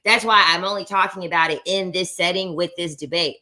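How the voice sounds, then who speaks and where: A woman's voice: fairly low pitch, fairly even intonation, fairly quick, sharp tone. A woman content creator giving blunt, emphatic commentary on a debate clip, repeating her points and swearing for emphasis.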